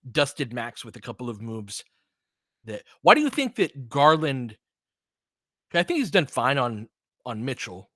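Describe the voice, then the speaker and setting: A man's voice: mid-range voice, very animated, a little slow, long pauses, pushed and bright, no room sound. American man casually talking basketball, dry close sound. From California, United States. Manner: analytical, thinking aloud with trailing, self-interrupting sentences. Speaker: host and play-by-play commentator. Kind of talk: casual basketball chat and live commentary, answering listeners.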